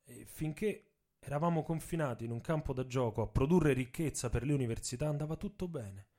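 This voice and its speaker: A man's voice: mid-range voice, brisk, mellow, natural voice. A man playing a proud, indignant young American activist professor in a dramatic radio monologue, telling his story emphatically. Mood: defiant. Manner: emphatic storytelling in the first person. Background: music with instruments.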